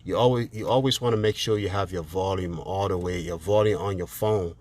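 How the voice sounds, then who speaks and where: A man's voice: sits low, fairly quick, a little mellow, dry recording. A man chatting casually through a hands-on car tutorial, informal and repeating phrases for emphasis, speaking inside a car. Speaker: car DIY video host.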